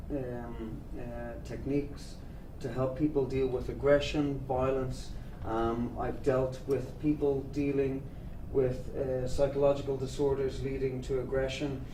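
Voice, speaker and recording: A man's voice: mid-range voice, close to an everyday voice. An actor playing an earnest male therapist who leads an anger-management group and talks with many hesitant fillers. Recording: echoey room, distant mic, noisy.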